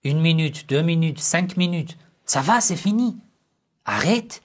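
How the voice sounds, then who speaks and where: A man giving a religious lesson on family life, speaking in short, measured phrases and asking rhetorical questions that he then answers himself. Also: a little high, expressive. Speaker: religious teacher.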